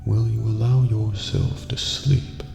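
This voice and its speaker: An eerie old keeper of a dream prison, very low and gravelly. He speaks in a slow, formal, cryptic near-whisper, as in a game roleplay, inside a reverberant hall with music behind. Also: fairly even intonation, a little mellow, heavily acted. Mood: solemn, soothing. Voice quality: deep, breathy, creaky near-whisper. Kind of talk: scripted ASMR roleplay based on a video game.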